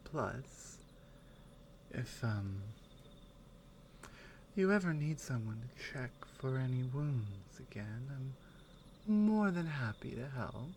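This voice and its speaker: A woman acts out a strong Nordic warrior woman in an ASMR roleplay. She speaks very slowly and softly, slipping into whispers, with a protective, tender, teasing temper. Noticeable ambience sits behind her voice. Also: rumbling low, big pitch swings, a little hiss or hum, voice pushed down, exaggerated voice. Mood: tender, reassuring. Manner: soft-spoken, partly whispered, unhurried.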